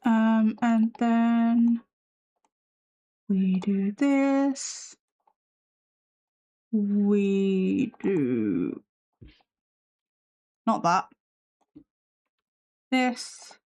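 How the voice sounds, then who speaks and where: A woman's voice: fairly high pitch, lively intonation, extremely slow, pauses often, dark tone. A woman hosting a live stream, reacting with sassy, skeptical commentary while losing her voice. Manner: sassy, skeptical, swears casually. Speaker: livestream host reacting to videos.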